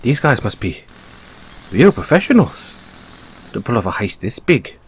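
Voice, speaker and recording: A man with an Irish accent voicing a sly, playfully menacing bunny mechanic who is a mafia boss, in an intimate, teasing, unhurried audio roleplay style. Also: medium pitch, very animated, a little hiss or hum. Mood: feigned amazement. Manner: teasing, unhurried, coaxing with tag questions.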